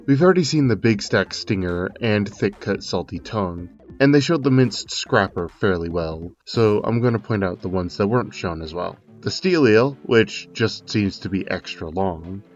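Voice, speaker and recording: A man's voice: on the low side, animated, moderate pace, few pauses, lo-fi sound. A man narrating a scripted video game analysis, with conversational asides thrown in. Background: music.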